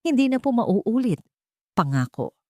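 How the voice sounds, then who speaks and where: A woman's voice: on the high side, big pitch swings, unhurried, a little mellow, no room sound, studio quality, pitched above the performer's own voice. A woman voicing a devoted adult son in an audio story, earnest and apologetic. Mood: tearful remorse. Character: distraught adult son, a millionaire, remorseful, devoted.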